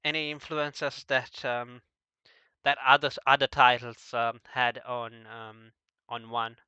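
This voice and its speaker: A man hosting a casual, unscripted discussion, asking questions in a halting way and often stumbling over his words. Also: mid-range voice, flat delivery, bright, forceful tone, clean tone, dry recording, lo-fi sound. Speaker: podcast host asking questions.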